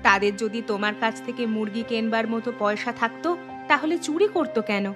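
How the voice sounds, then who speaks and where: A woman's voice: fairly high pitch, brisk, close to an everyday voice. A woman voicing an emotional village wife in a cartoon story, plaintive and dramatic. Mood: matter-of-fact. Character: village wife, emotional, sharp-tongued, motherly. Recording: voice over prominent background music.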